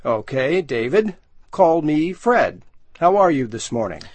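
A man's voice: a little high, unhurried, no room sound. A man playing a friendly magazine manager who interviews a job candidate in a scripted learner dialogue. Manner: relaxed and friendly, asks questions. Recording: dry studio sound.

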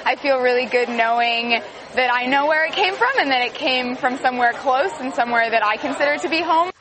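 A woman's voice: sits high, fairly quick. A woman, a customer, speaking casually in an interview. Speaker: market customer. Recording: noisy crowd behind.

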